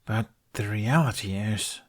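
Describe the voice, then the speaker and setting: A man's voice: fairly low pitch, a little slow. A man voicing a self-deprecating young man in an audiobook, downcast, wry and given to mumbling. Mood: dejected.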